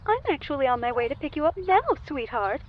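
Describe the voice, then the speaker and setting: A woman's voice: high voice, very animated, fast talker. A woman voicing an affectionate, fussy mother who talks sweetly to her child and the friends. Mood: doting. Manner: fussy, sweet, a bit scattered. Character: doting middle-aged mother, affectionate. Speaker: mother character. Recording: dull lo-fi mic with faint hiss.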